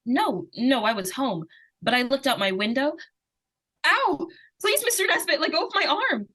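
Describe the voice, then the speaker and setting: Woman playing a high, lively, inquisitive little girl. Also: moderate pace. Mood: frightened, pleading. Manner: hesitant, artless, persistently questioning. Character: inquisitive young girl, innocent-seeming, probing, easily frightened. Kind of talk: 1940s radio suspense acting.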